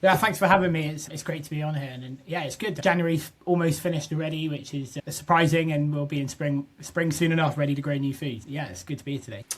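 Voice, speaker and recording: A young man from southwest England talks as a guest on a podcast. His voice sits a little high. He chats freely, often stopping and restarting mid-sentence. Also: fast, hardly any pauses. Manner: chatty, restarts often, repeats words. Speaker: podcast guest, business co-founder. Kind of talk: casual interview chat.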